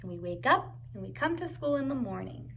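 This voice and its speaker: A woman's voice: on the high side, sing-song, a little fast, vocal fry, fairly bright tone, slight background noise. A woman reading a storybook aloud to young children like a preschool teacher, adding encouraging asides and simple questions.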